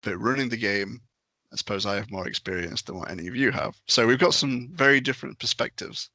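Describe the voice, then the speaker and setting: A man's voice: medium pitch, fairly quick, bright, forceful tone, dry recording. A man chatting casually on a podcast as a panelist and game master.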